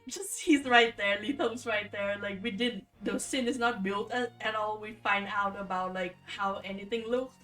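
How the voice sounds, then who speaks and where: A woman streamer reading stories and commenting casually, with an informal, halting, rambling way of talking. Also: medium pitch, a bit bright, far from the mic, soft background music. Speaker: streamer reading folktales aloud. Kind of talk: casual livestream storytelling and commentary.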